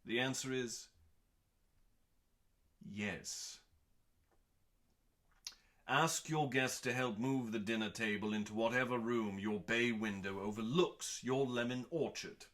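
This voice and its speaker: A male host delivers scripted text as mock drama. His voice sits at a middling pitch with a bright, forceful edge. He takes his time, leaving theatrical pauses and sounding grandly self-important. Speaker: host reading comedic cookbook recipes.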